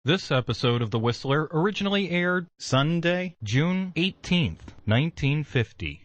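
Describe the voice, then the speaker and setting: A man reads a station announcement in a plain, measured way. His voice sits on the higher side, recorded dry and close in a studio. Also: animated, no room sound.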